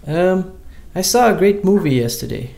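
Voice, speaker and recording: A man's voice: high voice, expressive, normal speed, vocal fry, darkish tone, slight background noise. A man co-hosting a casual chat, talking in a hesitant way with many fillers and restarts.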